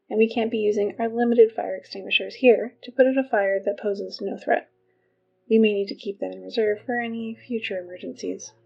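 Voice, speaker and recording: A woman playing an earnest, officious high school girl who reads morning announcements in a measured, matter-of-fact way for an audio drama. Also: creaky, lo-fi sound.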